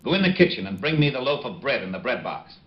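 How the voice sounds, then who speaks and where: A muffled old radio recording of an American police lieutenant. His mid-pitched male voice swings widely, gruff and clipped, easily exasperated with underlings. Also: big pitch swings, quick pace. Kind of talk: 1940s-50s radio detective drama acting. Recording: dull vintage radio recording.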